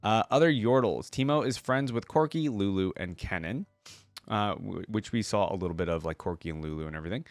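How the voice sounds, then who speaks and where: A man's voice: fairly low pitch, expressive, brisk, dry recording, clean studio sound. A man hosting a reaction video in a casual, easygoing, chatty way, sometimes reading aloud.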